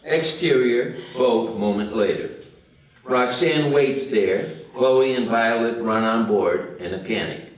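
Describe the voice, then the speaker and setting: A man's voice: mid-range voice, slow. A man narrating the stage directions of a screenplay at a table read. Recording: roomy, distant mic.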